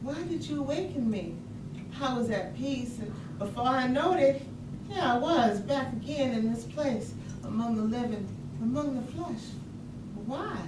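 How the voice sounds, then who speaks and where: A majestic mother spirit back from the dead, acted by a woman, tender yet stern. She speaks in deliberate, incantatory phrases as in a stage play. The sound is echoey and far from the mic, with loud noise behind. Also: high-pitched, lively intonation. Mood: stirred, motherly. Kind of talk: staged reading, poetic monologue.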